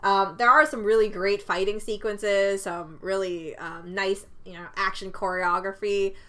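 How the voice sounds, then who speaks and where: A woman's voice: medium pitch, fairly even intonation, measured pace, fairly bright tone. A woman whose first language is Cantonese, chatting casually about a film, often laughing and restarting her sentences. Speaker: film review co-host. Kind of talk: casual chat.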